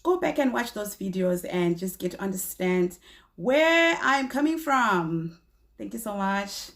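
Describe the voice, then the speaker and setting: A woman in her thirties, a little hoarse from a cold, telling a true-crime story to camera in a small indoor room, chatty with many fillers and restarts. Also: medium pitch, expressive, unhurried, a bit bright.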